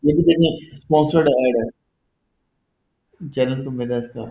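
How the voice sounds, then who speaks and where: A man's voice: mid-range voice, measured pace, vocal fry, mellow, highs cut off. A man teaching a live online class, explaining step by step in a conversational way and often checking that his students follow. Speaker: instructor. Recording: echoey room.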